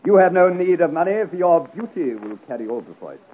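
An actor playing a mocking, swaggering captain of cutthroats with florid, sneering speech, in a 1950 radio serial. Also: male voice, on the high side, lively intonation, brisk, husky. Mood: smug, mock-gallant. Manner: florid, sneering, theatrical speech with mock gallantry. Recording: tinny, hissy old 1950 radio recording.

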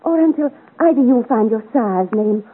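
A woman's voice: on the high side, expressive, normal speed, breathy edge, narrow, tinny audio. An actress playing a proud, devoted young noblewoman in a 1950s radio melodrama, speaking earnestly in formal, theatrical period style. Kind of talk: 1950 radio serial romantic melodrama acting. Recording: thin, noisy old 1950s radio recording.

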